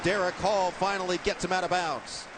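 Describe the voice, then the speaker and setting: A man's voice: high voice, level tone, normal speed, heavy background noise. A man calling live college football play-by-play from a stadium booth, with a strong, projecting voice that lifts on big plays. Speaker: play-by-play announcer.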